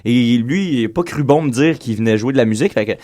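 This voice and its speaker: Quebec co-host, mid-pitched, meandering anecdote, filler-heavy. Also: male voice, expressive, fast, dark tone. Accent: Quebec French. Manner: rambling storyteller with many fillers. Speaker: radio co-host, event organizer. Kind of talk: casual radio chat.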